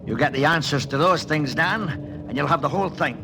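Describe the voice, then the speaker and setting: A loyal Scottish tunnel veteran in a radio play. His voice is a little high, with guarded, plain speech. The old recording carries clear background noise. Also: animated, fairly quick. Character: cautious, loyal Scottish tunnel veteran, male, middle-aged. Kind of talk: 1940s radio acting.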